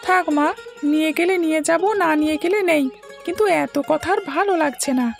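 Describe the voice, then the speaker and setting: High little boy voice for a cartoon, chatty, whiny pleading kid, music behind. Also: moderate pace, gravelly. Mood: sulky. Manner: pleading, petulant, childlike. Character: eager, sulky, chatty young schoolboy. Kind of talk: Bengali cartoon story dialogue.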